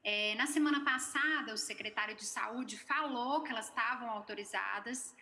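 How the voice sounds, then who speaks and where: A woman's voice: a little high, moderate pace, pushed and bright, slight background noise. A woman journalist politely asking a question over a video call. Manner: polite, inquiring.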